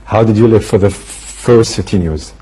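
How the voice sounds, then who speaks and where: A man's voice: fairly low pitch, moderate pace, warm tone, slightly rough. A man, a native Arabic speaker, hosting a TV interview and asking pointed, probing questions. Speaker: TV interview host.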